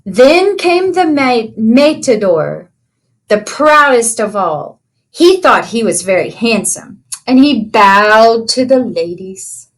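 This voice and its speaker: High-pitched, slow-paced woman reading to children. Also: poor audio. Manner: animated storytelling, asking children simple questions. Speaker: children's read-aloud teacher. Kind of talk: expressive picture-book read-aloud with asides to children.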